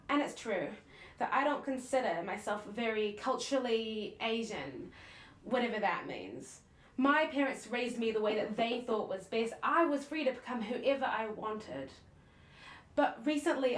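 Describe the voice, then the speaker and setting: A young woman speaks in a high-pitched voice with a New Zealand accent. She delivers spoken-word poetry earnestly and deliberately, leaving dramatic pauses between phrases. The recording is roomy, with a clear echo around her voice. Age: young adult. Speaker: slam poet. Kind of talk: slam poetry recitation.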